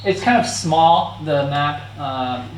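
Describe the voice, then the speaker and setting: A man from Hong Kong with a Hong Kong accent, giving a friendly, halting talk with slides, full of fillers and restarts, with frequent laughs. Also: a little high, slight background noise. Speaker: guest speaker. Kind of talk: informal lecture with slides. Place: church hall. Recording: echoey hall, mic at a distance.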